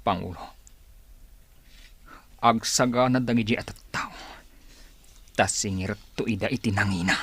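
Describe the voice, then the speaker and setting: A man's voice: fairly low pitch, unhurried, long pauses, slightly rough. A man playing an aggrieved, vengeful young recruiter in a radio drama, with an Ilocano accent. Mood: resentful, determined.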